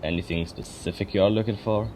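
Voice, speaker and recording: A man voicing a guarded, businesslike twelve-year-old boy guarding a village in a fan dub, speaking informally over faint rumbling noise. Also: steady tone, fast talker, darkish tone, close to an everyday voice, rough recording. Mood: questioning, wary. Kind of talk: fan dub character dialogue.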